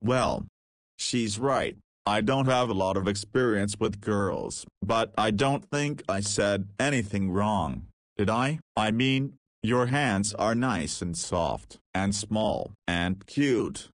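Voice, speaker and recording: A man's voice: fairly low pitch, takes it slowly, no room sound, studio quality. A man playing a cheeky, self-deprecating young patient in a visual novel, slangy, casual and wry. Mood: sheepish, playful.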